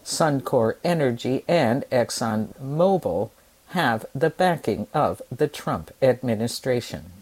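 A woman news anchor reading scripted radio headlines in a measured, formal delivery. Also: extremely low pitch, animated, darkish tone, slightly rough, poor audio.

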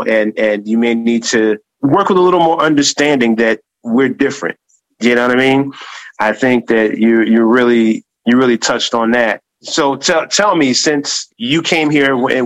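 Halting, repetitive male radio host. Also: mid-range voice, a bit bright, no room sound, low-quality recording. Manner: halting, repeats words and restarts often; encouraging, complimentary toward guest. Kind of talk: casual interview.

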